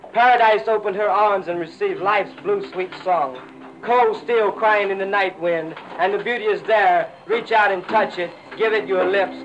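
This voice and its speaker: A man, a jazz poet, giving a spoken-word reading of Beat poetry. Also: sits high. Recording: dull old 1960 radio recording, music.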